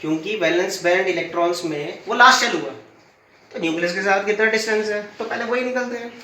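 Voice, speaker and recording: Man, hoarse, physics teacher, high pitch, echoey room heard from a distance, faint hiss. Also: quick pace, sharp tone. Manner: asks questions and answers them himself; uses everyday stories as examples. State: sore throat. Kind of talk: classroom lecture.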